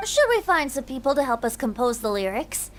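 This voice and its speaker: Playful, lilting young bard. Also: female voice, high voice, big pitch swings, a little fast, a little hiss or hum. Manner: light, teasing, laughs often. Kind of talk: game voice acting.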